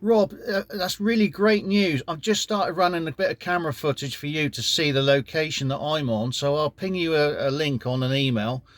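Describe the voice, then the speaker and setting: A man's voice: fairly high pitch, normal speed, few pauses, dry recording. A man with an English accent chatting courteously as an amateur radio operator during a casual contact. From United Kingdom.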